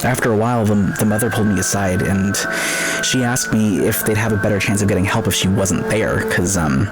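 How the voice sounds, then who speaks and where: A man playing a traumatized, weary army private, the sole survivor, in a post-apocalyptic radio drama, with a halting, bitterly wry delivery. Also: on the low side, loud music behind the voice, close to an everyday voice, rough recording. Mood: haunted, reminiscing.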